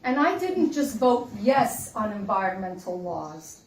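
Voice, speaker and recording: A woman's voice: mid-range voice, big pitch swings, unhurried. Woman in her 60s, earnest and emphatic campaign speaker, recorded from a distance in a roomy space with faint hiss. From Dominican Republic. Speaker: congressional candidate. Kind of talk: campaign stump speech. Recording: echoey room, mic from far off.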